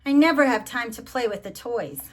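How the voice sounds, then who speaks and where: A woman's voice: on the high side, lively intonation, normal speed, a little hiss or hum, close to an everyday voice. A woman reading aloud as Santa Claus in a storybook, a busy, good-natured old man who grumbles and exclaims. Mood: harried.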